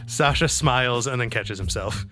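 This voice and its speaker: A man's voice: mid-range voice, normal speed. A man casually describing his character's actions as a player in a tabletop game, talking informally. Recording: music bed under the voice.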